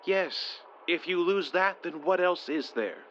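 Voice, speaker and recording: A man's voice: high-pitched, measured pace, fairly bright tone. An actor playing a shaken but stubbornly hopeful male survivor in an audio drama, urgent and blunt. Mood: urgent resolve. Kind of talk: modern audio drama acting. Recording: muffled, noisy handheld field recorder.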